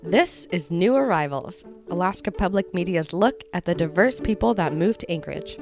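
A woman reads scripted narration as a radio host. Her low-to-middling female voice carries noticeable vocal fry, with music playing behind it. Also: animated, moderate pace.

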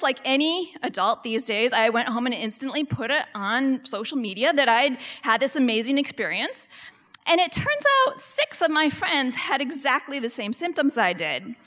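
Woman, high and animated voice, live storyteller, hall reverb. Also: moderate pace. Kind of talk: live comic personal storytelling. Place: large performing arts hall.

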